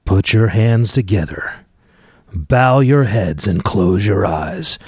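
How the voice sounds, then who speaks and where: A man hosting a podcast on a close microphone, bantering in a deadpan, teasing way with mock-grand introductions. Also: on the low side, slow, slightly rough, no echo. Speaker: podcast host; father of the young reader.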